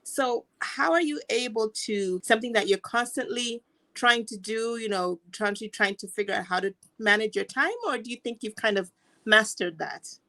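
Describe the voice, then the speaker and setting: A woman's voice: on the high side, moderate pace, bright, forceful tone. A woman interviewing a guest in a casual conversation, asking long rambling questions. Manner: asks long rambling questions, laughs along.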